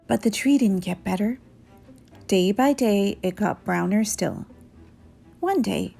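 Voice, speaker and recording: A woman reading a children's story aloud in an expressive storyteller's style, with music behind her. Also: mid-range voice, vocal fry.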